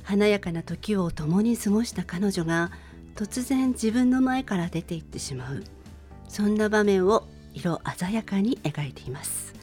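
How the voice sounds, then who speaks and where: Middle-aged woman radio host, low-pitched, over music. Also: expressive, unhurried, darkish tone. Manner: polite, conversational delivery, addressing listeners. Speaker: radio show host and presenter. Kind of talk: song introduction, chatty radio monologue.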